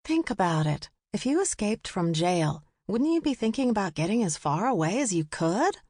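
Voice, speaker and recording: A woman's voice: mid-range voice, very animated, moderate pace, darkish tone, no room sound, studio quality. A woman voicing the exasperated, defensive heroine of an audiobook, protesting emphatically. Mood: reasoning.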